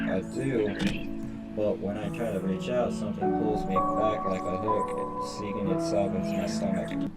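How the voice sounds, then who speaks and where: Low-voiced man streaming, game music behind. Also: distant mic. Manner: sweary, stumbles while reading. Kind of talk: live playthrough, reading story text aloud with crude commentary.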